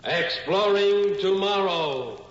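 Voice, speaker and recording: A man's voice: sits high, lively intonation, slow pace. An American man introducing a 1950s radio show in a dramatic announcer's style. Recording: muffled old radio broadcast recording.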